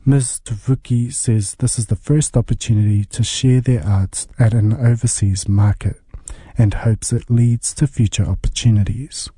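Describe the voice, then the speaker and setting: Husky, dark-voiced radio reporter man. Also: mid-range voice, fairly even intonation, moderate pace, no room sound. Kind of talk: scripted news narration.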